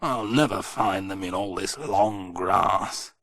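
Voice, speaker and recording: A storyteller voicing a downbeat, plain-spoken farmer who talks low and grumbly. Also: slow pace, creaky, a bit bright, heavily acted. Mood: glum.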